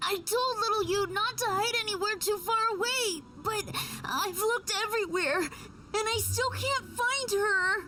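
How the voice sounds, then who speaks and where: A woman's voice: very high-pitched, a little slow, background noise. A woman voicing a small, worried child in an anime video game. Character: small worried child searching for a lost friend. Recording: game music behind the voice.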